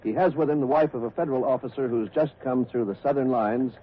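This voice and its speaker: An actor playing a brisk, formal news anchor in a 1940s radio newscast. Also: male voice, medium pitch, breathy edge, close to an everyday voice. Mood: brisk, inquiring. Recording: tinny, noisy vintage radio recording.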